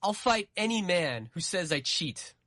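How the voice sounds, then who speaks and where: A woman's voice: lowish voice, big pitch swings, unhurried, fairly bright tone, dry recording, exaggerated voice. A woman voicing a proud, stubborn young man, insistent and combative, in a dramatic story reading. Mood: indignant.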